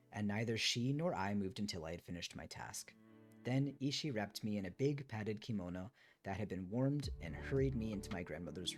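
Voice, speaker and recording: Male streamer, lower voice, measured book narration with clear guitar backing. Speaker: streamer reading a book aloud. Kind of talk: live read-aloud of a memoir, with casual asides. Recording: music behind the voice. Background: guitar music.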